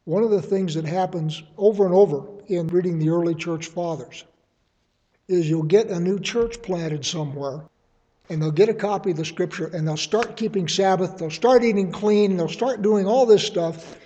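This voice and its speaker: A man teaching a verse-by-verse Bible study, reasoning aloud in a measured way and offering his readings as suggestions. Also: high voice, mellow, big-room sound, dull audio.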